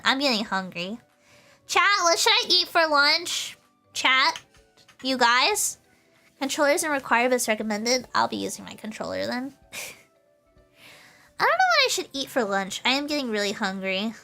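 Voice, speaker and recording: High, bright-voiced bubbly woman streamer. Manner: bubbly, giggly, repeats phrases. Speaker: VTuber livestreamer. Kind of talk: casual chatty livestream, talking to chat.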